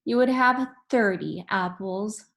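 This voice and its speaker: A woman's voice: medium pitch, slow, gravelly, fairly bright tone. A woman voicing a smug, eager know-it-all second-grade girl in a storybook, explaining things precisely.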